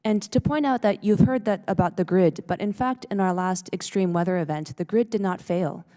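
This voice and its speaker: A woman's voice: mid-range voice, moderate pace, warm tone. A woman from California testifying at a hearing, firmly holding her point. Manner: firm, holds her point, repeats it. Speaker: hearing witness. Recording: hearing room with long reverb.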